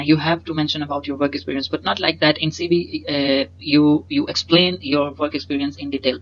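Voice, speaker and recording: A young man whose first language is Punjabi, giving a webcam lesson and explaining slides in a halting way, full of fillers and repeated words. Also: on the high side, fairly bright tone, dull audio. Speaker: instructor. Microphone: webcam in a corner inset.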